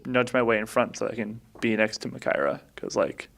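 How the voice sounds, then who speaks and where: A man's voice: lowish voice, fairly quick, vocal fry, fairly bright tone. A man chatting casually as a player in a tabletop game.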